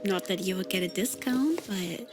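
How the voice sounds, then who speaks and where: A woman's voice: mid-range voice, moderate pace, natural voice. A woman voicing a grumpy, sarcastic young guild master who sells potions, talking in a dry, unimpressed way. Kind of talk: scripted voice drama. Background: background music.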